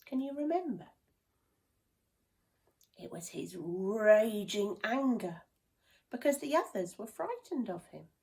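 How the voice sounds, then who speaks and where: A woman reading and explaining a children's story, gently asking young listeners questions. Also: medium pitch, very animated, slow, vocal fry, long pauses.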